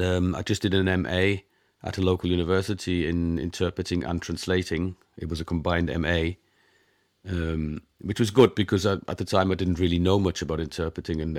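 A man's voice: low-pitched, level tone, brisk, a little mellow, dry recording, studio quality. A man whose first language is German, in his forties, talking as an interview guest about his work as a conference interpreter, with many ums and restarts. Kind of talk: conversational interview.

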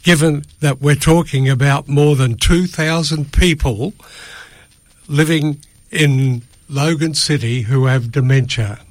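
Slow, measured older man, higher-pitched voice. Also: no room sound. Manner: formal, measured, careful wording. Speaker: choir member, interview guest. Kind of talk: prepared statement in an interview. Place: radio studio. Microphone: studio microphone.

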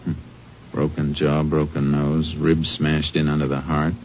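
Deep-voiced, laconic Texas Ranger. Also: male voice, completely flat delivery, a little slow, a little mellow. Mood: grim, matter-of-fact. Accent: American. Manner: laconic, matter-of-fact. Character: stern, methodical male Texas Ranger investigating a murder. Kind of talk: 1950s radio western acting and narration. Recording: muffled, slightly noisy 1950s radio recording.

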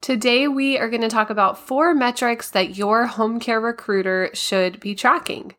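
Slightly raspy, bright-voiced woman podcaster. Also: fairly high pitch, fairly even intonation, moderate pace. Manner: practical, step-by-step explaining. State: slightly hoarse from a cold. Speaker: podcast host. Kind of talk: solo podcast monologue.

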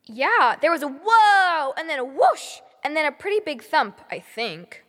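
Woman as a high-voiced, bold, excitable girl. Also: measured pace, big-room sound, exaggerated voice. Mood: earnest, excited. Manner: eager, blurting, informal.

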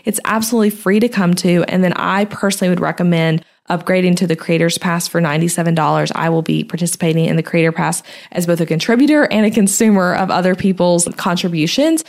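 A young woman hosting her own podcast, talking casually and a little hoarse from a sore throat, dropping in "like" often. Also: lowish voice, quick pace, hardly any pauses, a little mellow. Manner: chatty, uses "like" a lot. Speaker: podcast host and web designer. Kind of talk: casual solo podcast talk.

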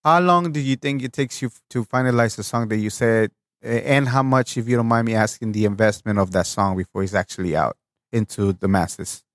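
A man's voice: lowish voice, brisk, dry recording, studio quality. A male podcast host who asks long winding questions and sums up the guest's answers back to him. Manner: rambling, restates the guest's answers, lifts his voice when asking. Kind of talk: interview questions.

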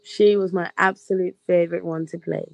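A young woman from Bermuda, a stage actress and guest on an interview podcast, talking in a relaxed, chatty way with a slightly hoarse voice. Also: on the low side, a little fast, dry recording. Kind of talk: casual interview chat.

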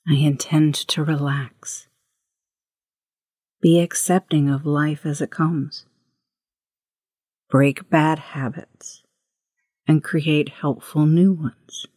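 A woman leading a guided meditation as host, with a hushed, breathy voice and gentle, unhurried instructions. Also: deep voice, fairly even intonation, no echo, studio quality. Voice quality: breathy, husky, often near whisper.

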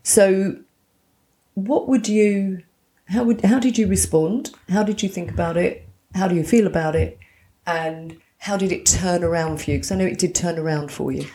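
A woman's voice: lowish voice, steady tone, normal speed. A woman co-hosting a podcast with her daughter, asking question after question and circling back on her points. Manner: warm-hearted, asks many questions, repeats herself. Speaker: podcast co-host, mother of the other host. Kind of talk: casual podcast chat.

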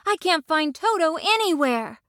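Woman as a high-voiced, outspoken young girl. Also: animated, measured pace, fairly bright tone, dry recording, clean studio sound. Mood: worried.

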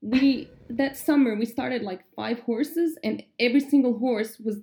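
A woman from Croatia, a guest, eagerly recalling her training with quick laughs. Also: fairly high pitch. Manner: lively, laughing, enthusiastic recollection. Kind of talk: casual interview chat.

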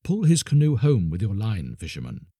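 A commanding ancient magician, voiced by a man, speaks low and slow with a husky, warm edge. Every word lands like a decree. The recording is close and dry. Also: wildly swooping pitch, no room sound, heavily acted. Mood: solemn. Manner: slow, low and solemn pronouncements.

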